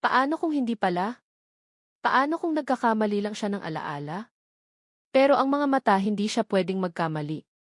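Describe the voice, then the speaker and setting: Female Filipino storyteller for dramatic romance audiobook, mid-pitched, dry studio-like sound. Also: lively intonation, moderate pace, long pauses, no room sound.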